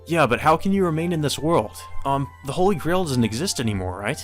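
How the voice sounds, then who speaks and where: A man's voice: a little high, expressive, brisk, faint music behind, close to an everyday voice. A man voicing an earnest, bewildered teenage boy hero from an anime story, hesitant and sincere.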